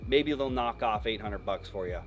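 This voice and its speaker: A man's voice: on the low side, normal speed. An American man presenting a vehicle shop's video, giving a frank, informal, slangy product review in a casual style.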